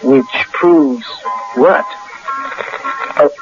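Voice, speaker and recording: An actor playing a friendly, tipsy drinking pal in an old radio drama, folksy, hesitant and rambling. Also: male voice, fairly high pitch, very animated, very slow, gravelly, heavily acted. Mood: puzzled, curious. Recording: dull lo-fi radio recording with music.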